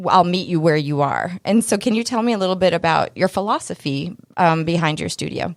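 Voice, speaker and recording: A woman with a low voice hosts a radio show from a dry studio. She asks long questions that wander a little and stops for filler words. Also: fairly quick, creaky, no echo. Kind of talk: interview questions.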